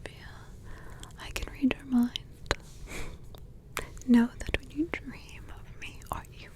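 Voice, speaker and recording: A woman voicing a doting grandmotherly witch in an ASMR roleplay, with a soft, tender whisper and rambling asides. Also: high voice. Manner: tender, sidetracked asides, affectionate endearments.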